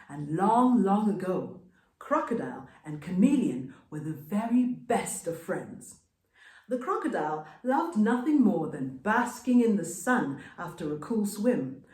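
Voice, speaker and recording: Female storyteller for folktales: lively swooping intonation, unhurried dramatic phrasing, echoey room. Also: mid-range voice. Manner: dramatic, expressive, deliberate phrasing. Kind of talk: scripted folktale narration.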